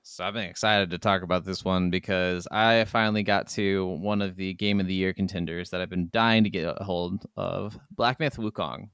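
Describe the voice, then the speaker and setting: Clear-voiced, excitable man. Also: sits low, normal speed, hardly any pauses, dry recording, clean studio sound. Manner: excitable, slangy, profane. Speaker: podcast co-host. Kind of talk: casual enthusiastic chat. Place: remote recording from separate locations.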